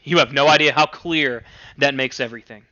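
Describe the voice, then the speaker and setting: A man's voice: medium pitch, a little fast, sharp tone, lo-fi sound, close to an everyday voice. A man voicing a whimsical, teasing young man in a story, with playful mock-serious emphasis.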